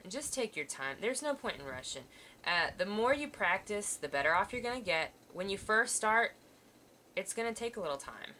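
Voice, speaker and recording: Bright-voiced woman teaching sewing. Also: medium pitch, sing-song, moderate pace, a little hiss or hum. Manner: patient, reassuring, talks while working hands-on. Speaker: art teacher. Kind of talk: step-by-step sewing demonstration. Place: indoors at a table, overhead camera on hands.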